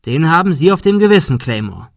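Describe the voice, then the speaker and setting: A man's voice: a little high, moderate pace, dry recording, clean studio sound, pitched above the performer's own voice, lightly acted. A man voicing an indignant detective in an audiobook, speaking in an emphatic, accusing way. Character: indignant male detective confronting a killer.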